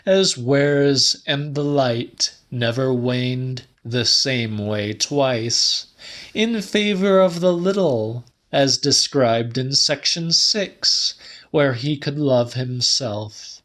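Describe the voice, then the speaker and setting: A man narrating literary fiction in a deliberate, slightly dramatic audiobook style. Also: medium pitch, fairly bright tone.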